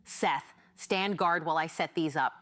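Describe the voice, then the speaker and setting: A woman narrator plays a cool, commanding woman from an audiobook thriller. She is brisk and knowing, with a smirk in her slightly low voice. The sound is close and dry. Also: a bit bright, no room sound.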